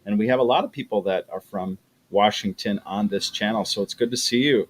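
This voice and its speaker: A man's voice: on the low side, brisk. A middle-aged man chatting casually on a livestream, often repeating words as he talks. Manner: chatty, repeats words, acts out quoted voices. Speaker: livestream host reading chat.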